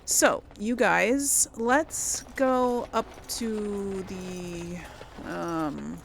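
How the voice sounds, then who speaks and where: A woman's voice: mid-range voice, drawn-out words, gravelly. Female gameplay host, informal think-aloud commentary, mid-range voice, audible background noise. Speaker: gaming video host. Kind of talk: casual game commentary.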